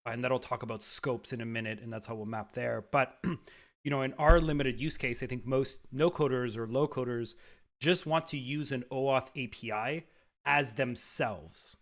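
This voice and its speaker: Medium-pitched man hosting a live stream, upbeat and casual, with frequent ums and restarts. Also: moderate pace. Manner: upbeat, stammering repeats and fillers.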